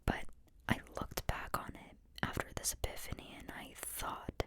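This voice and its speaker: A woman talks in a soft, breathy whisper with almost no voice behind it. She rambles reflectively in an ASMR style, earnest and informal, often questioning herself as she explains. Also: moderate pace. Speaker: host sharing reflections on a psychology book.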